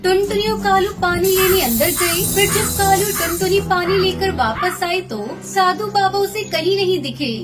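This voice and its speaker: Female narrator, very high voice, scripted children's fable read over background music. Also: moderate pace, hardly any pauses.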